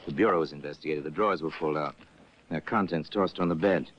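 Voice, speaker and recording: A man narrating a radio crime drama in a measured, grave manner. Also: very deep voice. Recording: muffled old radio broadcast.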